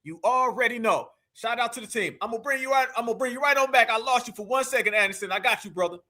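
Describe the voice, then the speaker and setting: High bright hyped-up male host. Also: fast talker. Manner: loud, hyped, calls the audience family. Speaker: livestream host. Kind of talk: animated live-stream banter.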